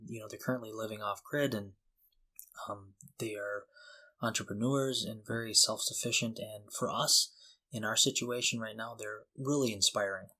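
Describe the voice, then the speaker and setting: A man's voice: fairly low pitch, fairly even intonation, normal speed, bright, forceful tone, poor audio. A middle-aged man co-hosting a podcast in a casual, reflective and earnest conversational style. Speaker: podcast co-host.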